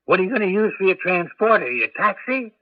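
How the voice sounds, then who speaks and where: An actor playing an excitable, doting old grandfather in a 1980s radio drama, with a husky voice. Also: sits high, fast, heavy background noise. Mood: grumbling, puzzled. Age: older. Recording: tinny, band-limited old radio broadcast.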